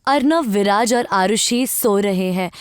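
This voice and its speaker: A woman's voice: mid-range voice, a little slow, noisy background. A woman reading scripted narration for a horror story as the storyteller.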